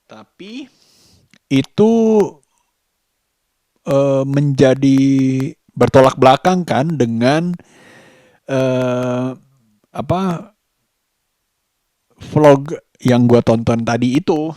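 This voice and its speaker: A man talking in a casual daily vlog, reflective and halting, pausing mid-sentence. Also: mid-range voice, mellow, no room sound. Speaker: vlogger.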